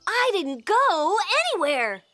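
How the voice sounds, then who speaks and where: A woman's voice: very high-pitched, very animated, slow, exaggerated voice. A woman voicing a young cartoon rabbit who talks earnestly and wonders aloud, with background music. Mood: thoughtful. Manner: earnest, wondering, a bit self-doubting. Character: young rabbit with a bright cotton tail.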